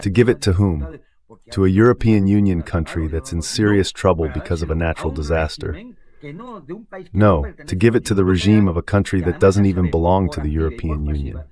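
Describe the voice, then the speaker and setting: A man's voice: low-pitched, a little fast, dark tone. A man giving emphatic, sarcastic political commentary as a guest analyst, in a translated voice-over.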